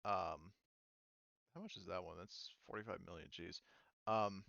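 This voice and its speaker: A man's voice: deep voice, fairly even intonation, a little slow, vocal fry, bright, forceful tone, dry recording, highs cut off. A man running a tabletop roleplaying game, explaining rules in a rambling way full of fillers. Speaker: game master.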